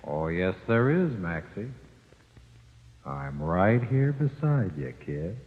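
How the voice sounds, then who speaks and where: Needling dead-gangster ghost, slangy and gruff, animated delivery, thin phone-like old radio sound. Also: male voice, sits low, slow, long reverb tail, heavily acted. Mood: taunting, calm. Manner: slangy, ungrammatical, coolly needling tough-guy talk. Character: ghost of an executed gangster, gruff, taunting, unbothered. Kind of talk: old-time radio crime drama acting.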